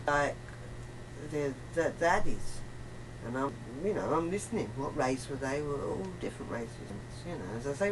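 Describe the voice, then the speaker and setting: A woman chatting casually in a rambling, emphatic, colloquial way, often acting out bits of reported dialogue. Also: low-pitched. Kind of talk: casual chat. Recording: distant mic, faint room noise.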